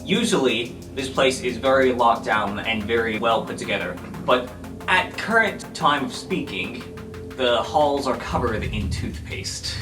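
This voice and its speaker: Casting: animated tabletop game master, mid-pitched storytelling, echoey recording with clear music underneath. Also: unhurried. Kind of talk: narrating an improvised tabletop superhero game. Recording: roomy, distant mic, music behind.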